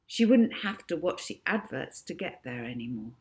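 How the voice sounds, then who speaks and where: A woman's voice: low voice, big pitch swings, moderate pace. A woman narrating a children's storybook expressively, as an author reading her own book.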